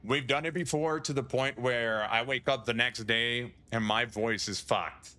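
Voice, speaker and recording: Man, streamer, casual rambling chat, forceful bright tone, mid pitch, swears and chuckles. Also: fairly even intonation, unhurried.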